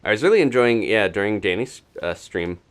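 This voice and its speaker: A man's voice: on the low side, animated, fairly quick. A man doing live gameplay commentary as a streamer, chatting casually and thinking aloud in a rambling way. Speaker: video game streamer.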